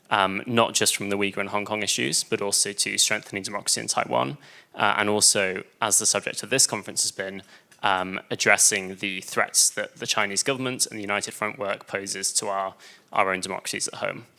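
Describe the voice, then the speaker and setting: A man with a British accent explaining his organisation's purpose at a conference, fluent and measured. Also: fairly low pitch, fairly even intonation, creaky, pushed and bright. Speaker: organisation manager. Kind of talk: conference Q&A answer. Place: conference room.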